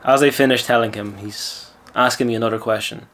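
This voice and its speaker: A man's voice: medium pitch, steady tone, brisk, a bit bright. A man from Lithuania with an Irish accent, a mindset coach telling a gym story in casual talk and then giving self-assured advice. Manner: chatty, self-assured, lecturing.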